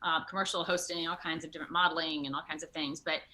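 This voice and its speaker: A woman actor talking casually as an interview guest, animated and quick to act out remarks. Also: low-pitched, low-quality recording. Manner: animated, acts out quoted remarks.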